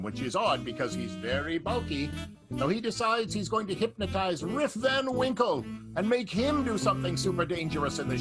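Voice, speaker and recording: A man narrating an improvised radio play in a jokey, announcer-like way. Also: high-pitched. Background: guitar music.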